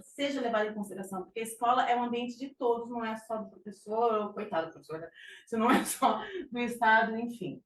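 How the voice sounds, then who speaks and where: A middle-aged Brazilian woman giving an informal psychology talk, with frequent fillers and restarts. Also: fairly high pitch. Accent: Brazilian Portuguese. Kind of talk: conversational lecture presentation. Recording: echoey room, distant mic, faint noise.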